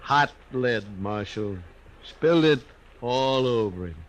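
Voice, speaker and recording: A man's voice: medium pitch, expressive, slow, lo-fi sound. A man acting a callous, evasive buffalo-hide outfit boss in a 1950s radio western, grudging and drawling.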